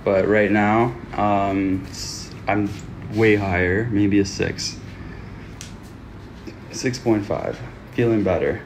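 A man's voice: fairly low pitch, fairly even intonation, takes it slowly, pauses often, slight background noise. A young man from Canada hosting a casual livestream, chatting with viewers into a phone held at arm's length in a small room. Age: young adult. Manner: chatty, self-talking, upbeat with viewers. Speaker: livestream host.

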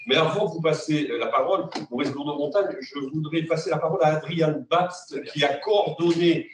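A man's voice: a little high, a little fast, slight background noise. Polite male moderator in an echoey room. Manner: polite, courteous handover with fillers. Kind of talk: introducing speakers at a panel. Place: meeting room with tables in a U shape. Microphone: far room camera. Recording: echoey room, distant mic.

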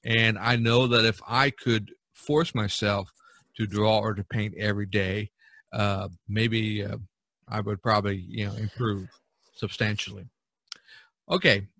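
A man hosting a podcast in casual chat, talking in a halting, conversational way. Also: on the low side, steady tone, creaky, a bit bright, dry recording. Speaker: podcast host. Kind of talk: casual chat about topics from a list.

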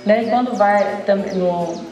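A middle-aged woman giving an informal hands-on demonstration in a bright indoor room, talking straight to viewers. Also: medium pitch, slow. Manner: informal, addresses viewers directly with fillers. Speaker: coffee processing instructor. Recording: roomy, from a distance, music under.

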